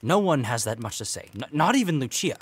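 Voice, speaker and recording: An actor playing a gruff, mocking deckhand in a Regency audio drama, sarcastic and needling. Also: male voice, medium pitch, sing-song, a little fast. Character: gruff, resentful, mocking male ship's deckhand.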